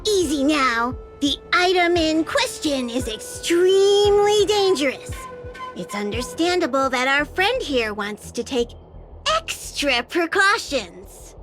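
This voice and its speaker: A woman's voice: sits high, big pitch swings, takes it slowly, fairly bright tone, full character voice. A woman voicing a small mascot creature who plays a commanding, menacing gang boss in a video game. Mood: measured authority. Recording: game music behind the voice.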